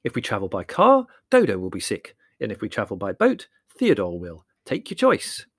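A man's voice: mid-range voice, big pitch swings, fairly quick, creaky, dry recording, studio quality. A man voicing an exasperated, sarcastic and argumentative young man in a storybook, indignant and emphatic. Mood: scornful.

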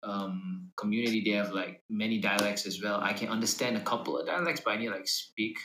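Male rapper as podcast guest, lowish pitch, casual delivery, echoey room sound. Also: brisk, pushed and bright. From Malaysia. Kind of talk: casual interview chat. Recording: roomy, echoing sound.

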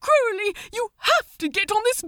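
A woman voicing a fretful, gentle male angel in a story, pleading urgently. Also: animated, moderate pace, no echo, studio quality, full character voice. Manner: urgent, high-pitched pleading.

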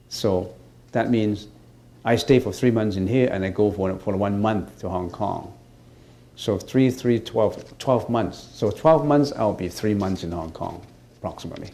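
Buddhist teacher, male, lowish voice; hesitant, restarts, stresses key teachings. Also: vocal fry, darkish tone. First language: Cantonese. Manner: halting, many fillers and restarts, rising emphatically on key points. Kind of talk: dharma talk answering questions.

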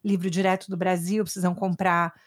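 A woman's voice: fairly low pitch, little pitch movement, a little fast, no room sound, studio quality. A woman from Brazil hosting a podcast, talking in a casual, enthusiastic way with her guest and her listeners. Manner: warm, chatty, enthusiastic. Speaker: podcast host. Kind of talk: casual podcast interview and outro. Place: Boston area, United States.

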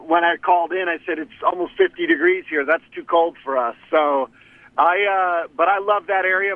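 Phone-in guest: a fifties man who is a sheriff, earnest and plain, slightly high voice, tinny line. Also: no echo. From Arizona. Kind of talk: casual chat over the phone.

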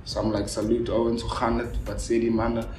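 A man from South Africa whose first language is Afrikaans, talking casually and telling stories quickly with lots of fillers. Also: medium pitch, distant mic. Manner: animated storyteller, talks quickly with many fillers. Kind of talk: casual conversation. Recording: roomy with background noise.

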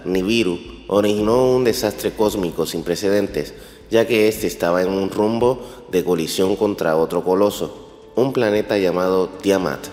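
A man's voice: sits low, a little mellow. A man narrating a scripted documentary as a storyteller of ancient matters. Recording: roomy long reverb over faint music. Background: faint music.

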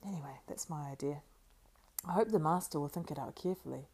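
A woman reading the thoughts of a plain-spoken, doubtful male hobbit servant, muttered low and homely. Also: quick pace, mellow, breathy edge. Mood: muttering.

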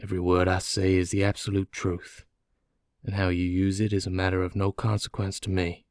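Guy doing a doomed prisoner in an audiobook, real low n dry, tired, calm, slow confession. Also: clear, resonant tone, no room sound, natural voice. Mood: weary, earnest. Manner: slow, placid, methodical. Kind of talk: audiobook character dialogue.

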